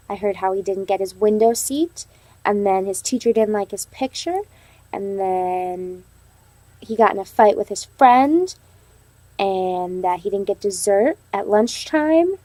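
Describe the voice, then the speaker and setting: A woman reading aloud to a class as a teacher, pausing to ask questions and affirm answers. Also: mid-range voice, darkish tone, no echo. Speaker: elementary teacher practicing a read-aloud lesson.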